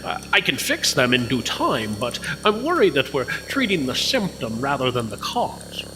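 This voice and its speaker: A man's voice: mid-range voice, huge pitch swings, moderate pace, music in the background. A man voicing a worried mechanic in an audio drama, hesitant and full of fillers. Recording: long reverb with faint noise.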